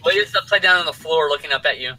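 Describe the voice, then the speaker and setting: A man's voice: fairly high pitch, racing, sharp tone, poor audio. A man co-hosting a casual geek chat stream, riffing loosely and swearing casually.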